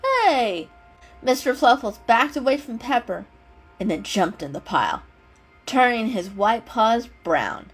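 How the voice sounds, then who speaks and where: A woman narrating a children's animal story as an expressive dramatic reading. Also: on the high side, unhurried, breathy edge. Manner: expressive, acts out the dialogue. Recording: music under the voice. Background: background music.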